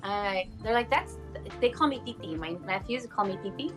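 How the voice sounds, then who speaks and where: Casting: female voice actor in a relaxed-format interview, mid-range voice, noticeable music bed. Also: mid-range voice, moderate pace. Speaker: bilingual voice actor being interviewed. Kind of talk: casual interview chat.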